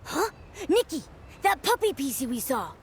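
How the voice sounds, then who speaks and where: A woman voicing a small, excitable, cute cat-like companion in a video game, squeaky and exclamatory. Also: moderate pace, a little hiss or hum. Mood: surprised, impressed. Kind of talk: video game character acting. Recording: loud game music behind the voice.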